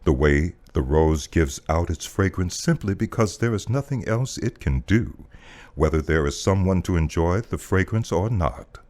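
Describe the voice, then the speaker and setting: Male voice-over narrator, deep register, highly varied intonation; solemn, emphatic, poetic spiritual reading. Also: animated, normal speed, darkish tone.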